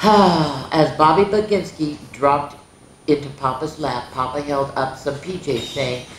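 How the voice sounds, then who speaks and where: A woman hosting a children's story time, reading picture books aloud in an animated way with chatty asides. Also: low voice, unhurried, echoey room, slight background noise, rough recording.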